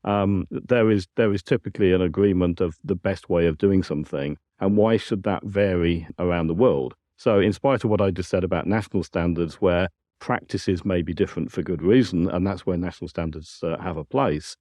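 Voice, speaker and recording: Low, mellow British man. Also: a little fast, hardly any pauses, dry recording. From United Kingdom. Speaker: technical director, interview guest. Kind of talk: explanatory interview answers.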